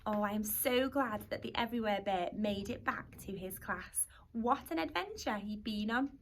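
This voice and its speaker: A woman teacher reading a rhyming picture book aloud to young children in an expressive storytelling manner. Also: mid-range voice, moderate pace, a little hiss or hum. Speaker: primary school teacher.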